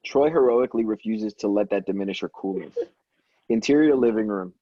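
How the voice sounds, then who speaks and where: Man, matter-of-fact table-read narrator, mellow husky voice, lo-fi recording. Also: lowish voice, fairly quick, dry recording. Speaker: stage directions reader at a table read. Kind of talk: reading script directions aloud.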